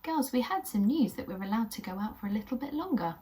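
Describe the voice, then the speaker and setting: A woman voicing a kindly, cheerful woodland animal parent in a children's puppet story, gentle and reassuring. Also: on the high side, lively intonation, fast talker, far from the mic, a little hiss or hum, close to an everyday voice.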